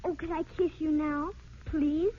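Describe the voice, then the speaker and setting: A woman's voice: sits high, fairly even intonation, a little slow. A woman playing a polite, hopeful little orphan girl in an old radio drama, wistful and eager. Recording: tinny, narrow old radio recording.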